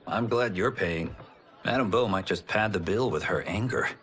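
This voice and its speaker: A man's voice: fairly low pitch, normal speed, fairly bright tone. A man playing a humble, earnest young farmer and martial artist in a video game cutscene. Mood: friendly.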